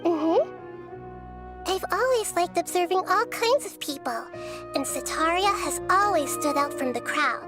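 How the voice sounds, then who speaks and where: A woman voicing a small childlike goddess of wisdom in a video game, gentle and thoughtful, speaking in an earnest, measured way. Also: very high voice, lively intonation, music in the background. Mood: calm, explanatory. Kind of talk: video game character acting.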